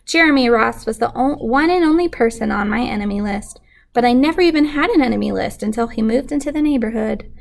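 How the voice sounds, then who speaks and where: A woman reading a picture book aloud to children in an animated way, pausing to put questions to young listeners. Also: on the high side, gravelly. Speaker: children's story reader.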